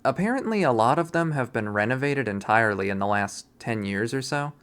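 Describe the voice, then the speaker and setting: A man reading a visual novel aloud in expressive first-person narration. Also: medium pitch, a little fast, clean tone. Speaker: visual novel readthrough player.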